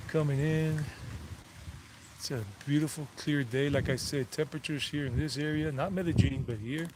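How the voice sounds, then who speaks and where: A man's voice: fairly high pitch, a little hiss or hum. A man vlogging from outdoors on a mountain property, chatting loosely, drifting off topic and correcting himself as he goes. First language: English. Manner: loose and digressive, repeats figures, self-corrects. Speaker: travel vlogger. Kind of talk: rambling casual livestream chat.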